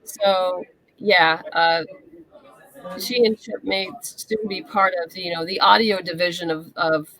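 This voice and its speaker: A woman's voice: fairly low pitch, steady tone, slow pace, fairly bright tone, poor audio. A woman speaking as a guest in a casual interview, candid and explanatory. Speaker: interview guest, comic writer and novelist.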